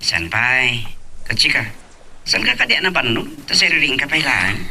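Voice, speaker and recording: An actor voices a dutiful son with an Ilocano accent in a family love drama. His mid-pitched voice is very sharp and bright. He talks in a reassuring, conversational way, a little away from the microphone. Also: very animated, normal speed, vocal fry, far from the mic, slight background noise, exaggerated voice. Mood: curious, reassuring. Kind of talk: Ilocano audio drama acting.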